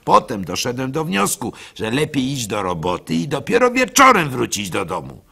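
A man playing a naive, trusting village baker, telling his story as an expressive, halting radio monologue. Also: mid-range voice, gravelly, husky, full character voice. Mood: wistful, confiding. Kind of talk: dramatic first-person radio monologue.

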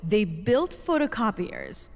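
An actress playing a plucky, sarcastic secret agent in an audio drama, blunt and incredulous. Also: female voice, medium pitch, very animated, slow pace, darkish tone, soft background music, full character voice. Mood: incredulous, amused. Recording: long echoing reverb.

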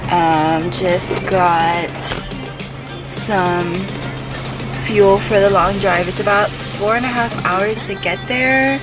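A woman's voice: mid-range voice, takes it slowly, music in the background. A woman in her thirties talking to a handheld camera, casually laying out travel plans with lots of ums. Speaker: travel vlogger. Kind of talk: casual travel vlog narration. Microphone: handheld camera at arm's length.

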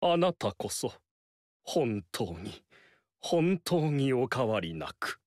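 An actor voicing a flamboyant, fawning court strategist in a video game, speaking in a theatrical, courtly way and repeating phrases for emphasis. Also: male voice, mid-range voice, sing-song, slow, darkish tone, no echo, clean studio sound. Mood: courteous. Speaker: game character.

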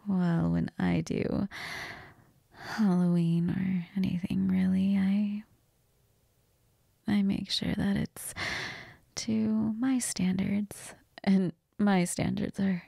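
Woman playing a breathy, flirtatious vampire. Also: mid-range voice, flat delivery, no room sound, clean studio sound, heavily acted. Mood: flirty. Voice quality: breathy, half-whispered.

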